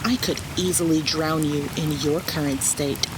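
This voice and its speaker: An actor playing a haughty, irritable shark-faced sea lord in a fantasy audio drama, disdainful and grudging. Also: male voice, on the high side, steady tone, moderate pace, very noisy, close to an everyday voice. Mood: contemptuous.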